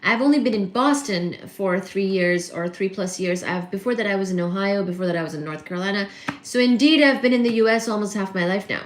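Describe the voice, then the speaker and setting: A woman's voice: medium pitch, roomy sound. A woman from Kolkata with Bengali as her native language and an accent that mixes Indian and American, chatting casually with viewers on a livestream. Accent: mixed Indian and American. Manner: chatty, answers viewers, asides and fillers. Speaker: livestream host. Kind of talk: casual livestream chat reading comments.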